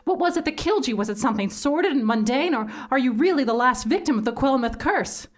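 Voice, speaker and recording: A woman playing an intense, frightened investigator, narrating a gothic stage drama in a theatrical, deliberate manner. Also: sits high, expressive. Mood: urgent, pleading. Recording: clearly noisy live theatre recording.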